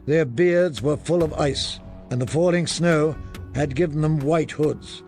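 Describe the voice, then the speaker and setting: A man narrating a fantasy audiobook in a deliberate, grave manner. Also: on the high side, darkish tone, soft background music.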